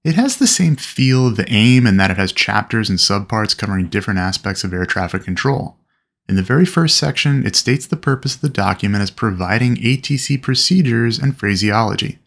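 A man's voice: on the low side, vocal fry, a bit bright. A man giving a scripted explainer narration in an instructional manner, as if teaching peers. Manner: instructional, addresses fellow pilots.

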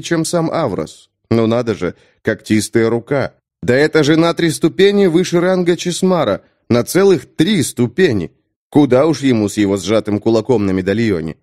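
Expressive male audiobook narrator, lively intonation. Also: medium pitch. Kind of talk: expressive scripted fantasy narration.

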